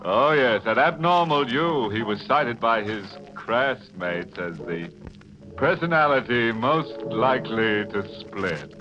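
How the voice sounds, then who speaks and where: A man playing a ghoulish, mock-genial host of an old radio horror show, with a drawn-out theatrical delivery. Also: medium pitch, animated. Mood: ghoulish glee. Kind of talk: 1940s radio horror narration. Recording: dull old radio recording with music.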